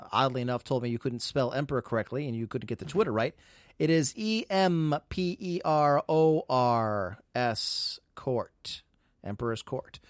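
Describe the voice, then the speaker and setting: Male podcast host, slightly high voice, dry close sound, rambling and teasing. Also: no echo. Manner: rambling, teasing, self-mocking boasts. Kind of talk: casual live podcast chat.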